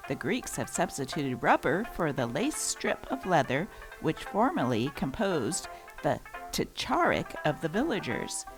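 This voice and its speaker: Woman, lower pitch, animated intonation, scripted newspaper narration, host role. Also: a little slow, music in the background, rough recording. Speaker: host reading old newspapers.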